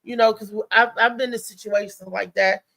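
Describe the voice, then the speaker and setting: Creaky, halting woman. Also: mid-range voice, fairly even intonation, a bit bright, no room sound. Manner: hesitant, halting, repeats words. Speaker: talk show panelist. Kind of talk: casual chat.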